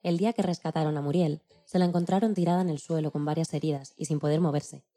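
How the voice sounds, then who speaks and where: A woman's voice: deep voice, steady tone, fast, warm tone. A woman narrating scripted stories expressively for a children's programme.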